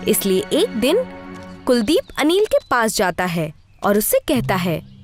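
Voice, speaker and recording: A woman's voice: mid-range voice, sing-song, unhurried, soft background music. A woman narrating a scripted children's story as the storyteller.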